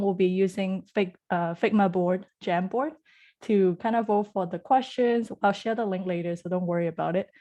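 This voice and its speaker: A woman's voice: mid-range voice, a little mellow. A woman from Hong Kong hosting an online group session over a video call, chatty and friendly. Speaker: host.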